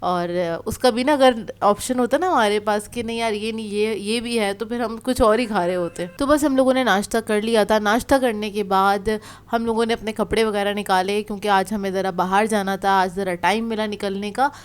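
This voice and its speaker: A woman's voice: mid-range voice, fairly even intonation, fairly quick, hardly any pauses. A woman with a sore throat chatting in a casual, explanatory way as she narrates a vlog about her day. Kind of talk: casual conversational vlog voice-over.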